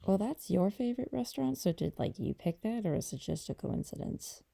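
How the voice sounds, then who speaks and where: A woman playing a gentle, affectionate girlfriend in an intimate ASMR roleplay, talking softly and hesitantly to a partner. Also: expressive. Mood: relaxed, affectionate. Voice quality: dark, creaky low voice. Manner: soft, hesitant, unhurried conversational delivery.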